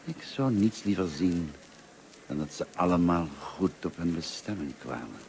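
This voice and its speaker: An actor in a Dutch radio play as a kindly, talkative, lonely middle-aged teacher, courteous and musing in manner. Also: male voice, sits low, lively intonation, measured pace, gravelly, a little mellow, exaggerated voice. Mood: wistful, fond. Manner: courteous, musing, hesitant and self-effacing. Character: kindly, talkative, lonely grey-haired male French teacher. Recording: noisy old radio play recording.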